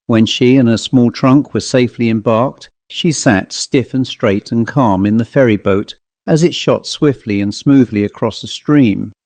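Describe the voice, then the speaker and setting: A male audiobook narrator reads literary fiction in a measured, formal way. His pitch is medium and the sound is very dry and close. It is scripted storytelling throughout. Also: darkish tone, dry recording.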